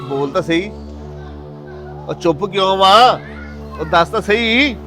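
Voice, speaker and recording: Excitable, proud Punjabi-accented Pakistani dad played by a man, slightly high voice, moral-story drama over light music. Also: slow pace. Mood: proud, excited. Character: proud, hopeful father who turns furious.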